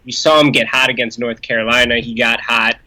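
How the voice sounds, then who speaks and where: Bright-voiced male basketball analyst. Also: mid-range voice, steady tone, fairly quick, no room sound. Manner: fluent, lists stats and details with confidence. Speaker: podcast guest, basketball analyst. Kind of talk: casual sports analysis.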